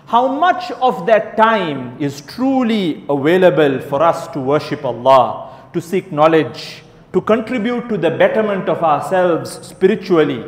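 Male preacher, fairly high voice, rhetorical and repetitive, big reverberant hall. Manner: rhetorical questions, emphatic repetition. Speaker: Islamic preacher. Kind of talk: sermon to a live crowd. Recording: echoey hall with long reverb.

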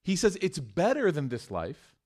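A man giving a live sermon to a room of listeners, chatty and joking, moving between loud emphatic bursts and hushed points. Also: on the high side, wildly swooping pitch, moderate pace. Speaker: mosque speaker. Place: room with an audience.

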